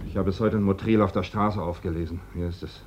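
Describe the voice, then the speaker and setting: A man acts a shrewd workman in a radio drama. His low voice probes and presses with deliberate, ironic questions. The recording is dull, with noticeable hiss. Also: steady tone, natural voice. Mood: bitterly ironic. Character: shrewd, insistent working man in the Spanish Civil War. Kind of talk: German radio play acting.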